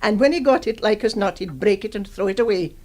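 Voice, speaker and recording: An actress playing a wry, sharp-tongued retired schoolteacher of seventy-seven in a radio drama, teasing and deliberate. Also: female voice, mid-range voice, close to an everyday voice. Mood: dry, scathing. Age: 70s.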